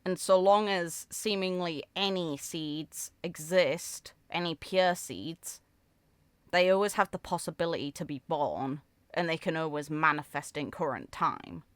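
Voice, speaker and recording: A woman's voice: low-pitched, unhurried, clear, resonant tone, no room sound. A woman giving casual commentary as a content creator reacting to a video game.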